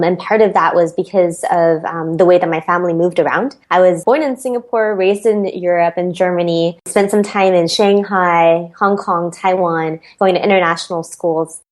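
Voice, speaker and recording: A woman's voice: fairly low pitch, moderate pace, few pauses. A woman with an American accent, a performance coach, giving reflective interview answers with frequent ums.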